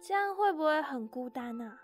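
Tiny, very high-pitched childlike mouse. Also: female voice, lively intonation, moderate pace, faint music behind. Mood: calmer, curious. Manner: innocent, emotional, questioning. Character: small naive young mouse, quick-tempered and homesick. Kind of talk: children's audio story acting.

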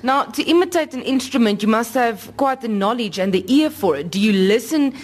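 A woman radio interviewer asking brisk, probing questions. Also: a little high, lively intonation, a little mellow, slight background noise.

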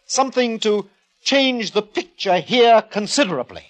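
Smug art critic, fairly high voice, accusing, condescending, pointed, old radio drama. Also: male voice, animated, measured pace, very noisy. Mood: brisk, smug. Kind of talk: 1950s radio drama acting.

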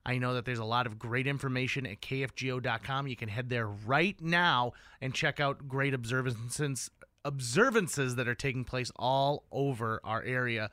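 Bright-voiced male radio host, enthusiastic. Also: mid-range voice, normal speed, no room sound, studio quality. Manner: enthusiastic, emphatic. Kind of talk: upbeat radio interview chat. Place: radio studio. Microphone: close studio microphone.

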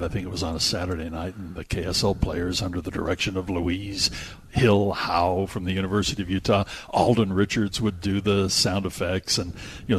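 A man's voice: low-pitched, a little hiss or hum. An older man hosting talk radio, chatting casually in a rambling, anecdotal way. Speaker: radio host.

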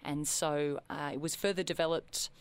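Chatty woman with a low voice. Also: steady tone, moderate pace, clean tone. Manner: chatty, laughs easily, many fillers. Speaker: comedy performer guest. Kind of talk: casual radio interview.

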